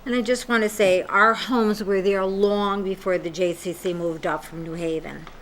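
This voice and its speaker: Bright-voiced woman speaking firmly. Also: mid-range voice, steady tone, moderate pace, a little hiss or hum. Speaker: resident speaking at a public hearing. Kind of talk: public comment at a town hearing. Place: town meeting room.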